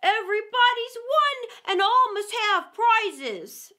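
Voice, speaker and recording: A woman's voice: very high voice, sing-song, takes it slowly, sharp tone, pitched above the performer's own voice, full character voice. A woman voicing a solemn, long-winded, easily offended dodo in a storybook, with pompous and ponderous delivery. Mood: proclaiming.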